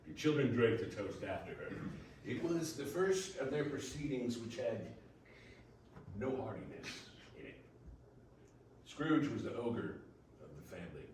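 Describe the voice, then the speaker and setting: A man's voice: medium pitch, big pitch swings, unhurried, pauses often, darkish tone. A man narrating a classic story as scripted readers theater. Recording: very echoey, distant, faint noise.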